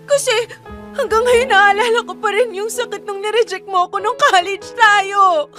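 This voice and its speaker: A woman's voice: very high voice, fairly even intonation, moderate pace, over music. An actress playing a wounded young woman in a romantic radio drama, emotional and accusing toward the man who once rejected her. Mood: hurt, admitting.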